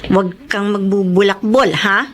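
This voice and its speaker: A woman's voice: medium pitch, a little slow, slight background noise, close to an everyday voice. A woman playing a hardworking, self-sacrificing young elder sister in a radio drama. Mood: gently bossy. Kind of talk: Tagalog radio drama acting.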